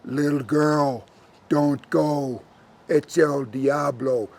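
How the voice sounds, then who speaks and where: Man as a slow, creaky, faithful cow. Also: a little high, steady tone, darkish tone, a little hiss or hum, voice pushed down, heavily acted. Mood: protective warning. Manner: slow, drawn-out speech. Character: faithful, protective cow, friend to a child. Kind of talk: live oral storytelling.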